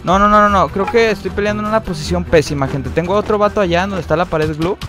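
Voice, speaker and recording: A young man with a Mexican accent giving excitable, slangy live commentary while playing a mobile shooter, with frequent high outbursts. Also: fast. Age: young adult. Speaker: gaming streamer. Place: indoor room at a desk, facecam. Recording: game music underneath. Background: game music.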